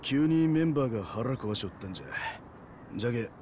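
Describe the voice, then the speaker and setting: Animated, folksy small-town guy played by a man. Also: medium pitch, brisk, a little hiss or hum, natural voice. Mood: teasing. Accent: Hiroshima Japanese. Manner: folksy, drawling, familiar. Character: easygoing, teasing small-town amateur baseball captain. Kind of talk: video game cutscene acting.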